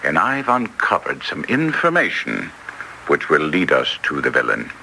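A man playing a brisk, masterful English detective in classic radio drama style, theatrical and clipped, with an English accent. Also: a bass voice, wildly swooping pitch, gravelly, sharp tone, exaggerated voice. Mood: wry, assured. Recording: noisy vintage radio recording.

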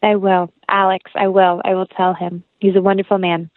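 A woman's voice: mid-range voice, little pitch movement, normal speed. Tinny call audio, young woman, podcast guest, casual, earnest, heartfelt, rambling, no room echo. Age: young adult. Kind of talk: casual interview chat. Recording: tinny phone-like line.

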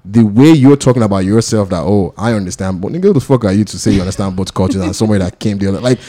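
A podcast host from Nigeria with a low, mellow voice. He argues his case emphatically in casual debate, often circling back and repeating his words to drive the point home. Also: very fast.

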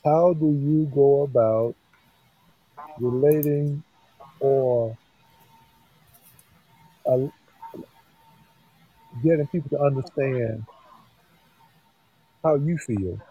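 Slow husky man, hesitant and halting. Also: medium pitch, no echo, narrow, tinny audio. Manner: hesitant, halting, searches for words. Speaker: discussion guest. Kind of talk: casual discussion.

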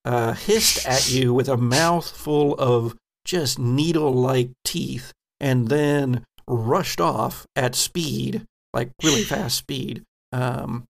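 Slow-paced, gravelly man narrating. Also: mid-range voice. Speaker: game master, podcast host. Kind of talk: narrating a tabletop horror role-playing game.

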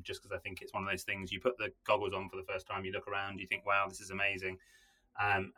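A man's voice: low-pitched, pushed and bright. A man speaking as a guest in a podcast discussion, candid and skeptical, often using fillers such as "you know" and "kind of".

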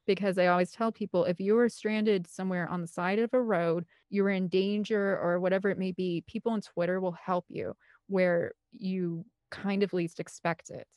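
A woman's voice: lowish voice, steady tone, moderate pace, a little mellow, no echo, studio quality. A woman giving an interview as a guest, telling personal stories in a candid, rambling, earnest and conversational way. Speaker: interview guest, film composer and director.

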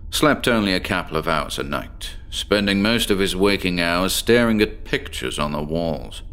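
An eccentric male professor, sleepless and obsessive, in a horror audio drama. The voice sits low. He confides his monologue with measured, articulate formality, choosing each phrase deliberately. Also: a bit bright.

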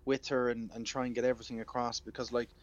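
A man's voice: mid-range voice, little pitch movement, quick pace, no echo. A man with an Irish accent talking earnestly and conversationally as a musician guest on a radio phone interview. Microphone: telephone. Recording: muffled phone line.

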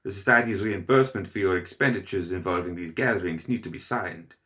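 A man's voice: low voice, fairly quick, fairly bright tone. A man voicing a nervous, formal lawyer who speaks deferentially.

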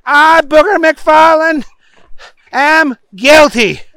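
Performer doing a high-pitched, dim, bumbling defendant. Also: extremely slow, fairly bright tone, no echo, exaggerated voice. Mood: earnest, clueless. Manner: bumbling, emphatic, mixes up simple words. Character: dim, earnest, muddled male defendant playing lawyer. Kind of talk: comic character voice in storytelling.

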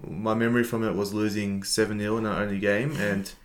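Young Australian male, lowish pitch, easygoing podcast co-host with dry, self-deprecating delivery. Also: level tone, a little fast. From Melbourne, Australia. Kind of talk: casual podcast chat.

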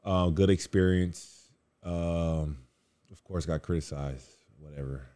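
Deep-voiced man, laid-back slangy guest, casual talk. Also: takes it slowly, mellow, smooth. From Los Angeles area. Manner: laid-back, slangy, trailing off with "you know". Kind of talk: casual chat. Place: indoors by a fireside, late night.

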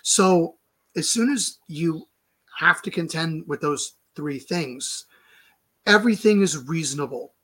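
A hoarse man, having lost his voice, explains a legal challenge fast and insistently on a video call, restarting words often. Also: a little high, lively intonation, fairly bright tone. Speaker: lawyer guest.

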